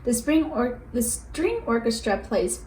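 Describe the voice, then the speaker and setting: A woman's voice: fairly high pitch, big pitch swings, a little slow, a little mellow. A woman teacher reading a children's picture book aloud in an instructive way, explaining as she goes. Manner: instructive, addresses listeners with questions and prompts. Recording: roomy echo with faint background noise.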